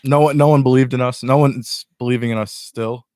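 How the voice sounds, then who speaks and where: A man's voice: mid-range voice, fairly even intonation, a little fast, darkish tone, no room sound, clean studio sound. A young man guesting on a casual chat show, earnestly breaking down his team's chances. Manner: earnest, explains at length with restarts.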